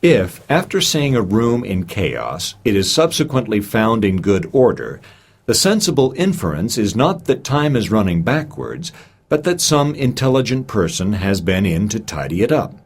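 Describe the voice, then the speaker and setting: A man reading a scholarly lecture from a script, with emphatic, rhetorical delivery and deliberate stresses. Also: on the low side, sing-song, slightly rough. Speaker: reader of a recorded speech.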